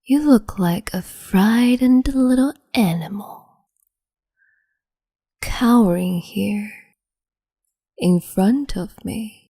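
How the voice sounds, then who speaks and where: A woman voicing a cruel, capricious empress in an ASMR roleplay, speaking slowly and mockingly and slipping into whispers. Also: mid-range voice, warm tone, husky, dry recording, clean studio sound. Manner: slow, mocking, condescending, drifts into whispers.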